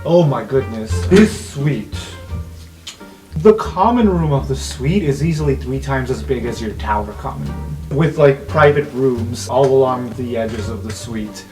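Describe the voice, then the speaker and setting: A man's voice: medium pitch, unhurried, warm tone, soft background music, rough recording. A man acting as game master, improvising narration for a tabletop roleplaying game.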